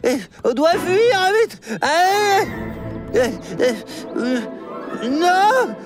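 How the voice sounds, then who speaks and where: An actor voicing an excitable, paranoid young cartoon dinosaur, dramatic and prone to stammering when alarmed. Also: very high-pitched, extremely slow, crowd noise, full character voice. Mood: panicked. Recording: loud music behind the voice. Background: loud cartoon music.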